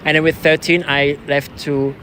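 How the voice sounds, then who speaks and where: A man from Switzerland who learned English as a second language talks casually to camera and companions. His voice sits a little high, and his delivery is conversational, with frequent affirmations. Faint outdoor street noise sits behind him. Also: level tone, moderate pace. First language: not English, learned English after moving to England. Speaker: vlogger, protester. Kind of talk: casual chat. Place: outdoors on a city street at a protest.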